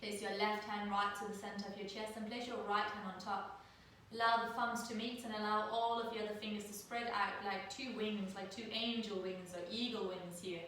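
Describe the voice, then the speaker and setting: A middle-aged woman from Denmark leading a guided yoga class over a live video call, speaking in a soft, soothing way with slow, drawn-out cues. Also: mid-range voice. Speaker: yoga teacher. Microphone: laptop mic at a distance. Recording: very echoey room, distant mic, faint noise.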